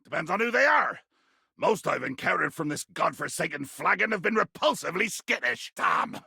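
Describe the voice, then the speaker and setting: Raspy, bombastic pirate captain. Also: male voice, high-pitched, expressive, moderate pace, pushed and bright, no room sound, studio quality, exaggerated voice. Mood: fierce, ranting. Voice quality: gravelly, rasping growl. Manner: ranting, theatrical, archaic pirate speech. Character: bombastic, bloodthirsty pirate captain, grown man, theatrical and irascible. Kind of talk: video game character acting.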